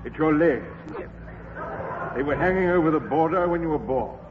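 A man playing a smooth, scheming con man in a radio comedy, suave and sly. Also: on the high side, animated, moderate pace, narrow, tinny audio, a loud crowd, natural voice. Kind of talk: 1950s radio comedy acting. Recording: old radio broadcast, hiss, reverb.

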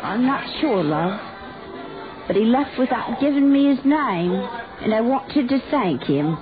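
A dotty elderly goose lady, played by a woman, trills her words. Her voice rises high and swoops up and down. It sounds like a tinny old radio broadcast. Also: very animated, a little slow, music in the background. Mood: fluttery. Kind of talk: old radio comedy acting.

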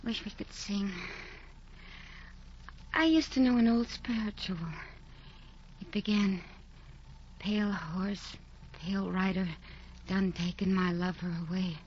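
A woman's voice: a little high, lively intonation, slow pace, pauses often, a little mellow, a little hiss or hum. An actress playing a frightened young woman sinking into fever and delirium, in a dull lo-fi recording. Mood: wistful. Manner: fragile, dreamy, frightened. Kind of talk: radio drama acting.

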